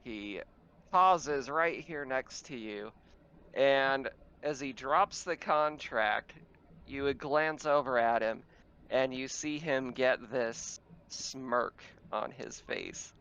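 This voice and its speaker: A man's voice: medium pitch, slow pace, a bit bright, clear, resonant tone, highs cut off. A man running a tabletop roleplaying game, narrating casually as game master.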